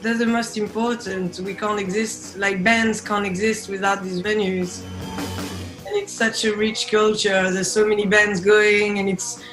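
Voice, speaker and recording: A woman's voice: mid-range voice, little pitch movement, unhurried. A young woman from France with a French accent, chatting casually as an interviewed singer on a video call. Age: young adult. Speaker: band singer being interviewed. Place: indoor room by window, video call. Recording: roomy echo, music behind.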